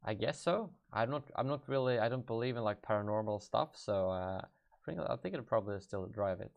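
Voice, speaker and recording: A man's voice: fairly low pitch, animated, brisk, creaky, dull audio. A young man with a Swedish accent, reading viewer questions aloud on a live stream and answering them off the cuff, thinking aloud as he goes. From Sweden. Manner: thinks aloud, hesitant and offhand. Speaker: gaming YouTuber.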